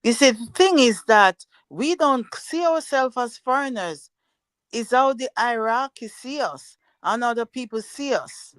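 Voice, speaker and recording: A woman's voice: fairly high pitch, expressive, measured pace, dry recording. An older woman from Jamaica with a Jamaican accent, talking plainly and bluntly in a casual livestream chat. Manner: plainspoken, blunt, repeats words for emphasis. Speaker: guest, host's mother.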